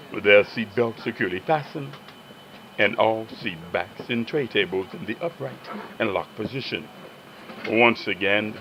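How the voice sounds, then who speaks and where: Gravelly low-voiced man on muffled PA. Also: fairly bright tone. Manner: formal, practised phrasing. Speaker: flight attendant. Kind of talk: in-flight cabin announcement. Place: aircraft cabin. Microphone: cabin PA handset. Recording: muffled cabin PA, aircraft noise.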